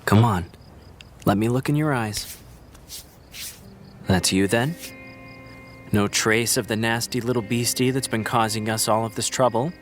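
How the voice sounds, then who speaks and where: A man's voice: on the low side, a little slow, pauses often, a little hiss or hum, natural voice. A man playing a smug, taunting vampire villain in an audio drama, with a mocking, theatrical drawl.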